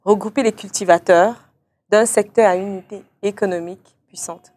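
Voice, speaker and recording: A woman hosting an interview, posing structured questions and briskly acknowledging answers. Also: medium pitch, steady tone, a little mellow, no room sound. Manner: brisk, affirming with short acknowledgements. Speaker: interviewer, show host.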